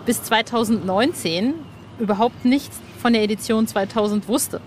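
Female interviewer, higher-pitched, informal and talkative, recorded on a roof terrace with clear traffic noise. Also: normal speed, a little mellow. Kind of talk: casual chat interview. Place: outdoor roof terrace in a city. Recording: outdoor city noise, traffic behind.

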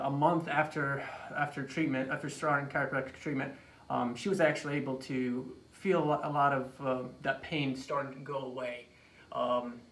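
Halting young man, echoey office recording. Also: mid-range voice, fairly bright tone. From Iraq. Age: late 20s. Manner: halting, repeats words and phrases. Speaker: chiropractor being introduced. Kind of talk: personal story in an informal interview. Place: small office room indoors. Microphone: phone camera a few feet away. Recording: echoey room, distant mic, faint noise.

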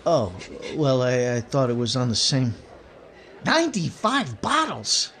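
A man's voice: medium pitch, wildly swooping pitch, a little slow, a bit bright, a little hiss or hum, exaggerated voice. Man playing a brash, swooping young blusterer. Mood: flustered. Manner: folksy slangy bluster. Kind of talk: old-time radio comedy acting.

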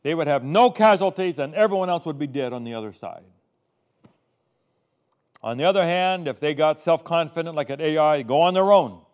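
An older male pastor delivers a sermon from a studio podium. He drops to quiet, confiding asides, then builds to loud, emphatic readings of scripture. His voice sits at an ordinary middle pitch and is picked up close on a lapel mic.

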